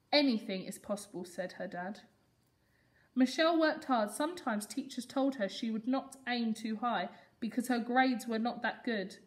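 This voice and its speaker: Young female early years teacher, slightly high voice, expressive read-aloud for small children. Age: young adult. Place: school classroom reading corner, indoors.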